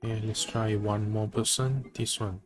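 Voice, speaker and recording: A man's voice: lowish voice, steady tone, a little slow. A man giving casual live commentary on a game as a streamer, musing and thinking aloud. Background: faint game music.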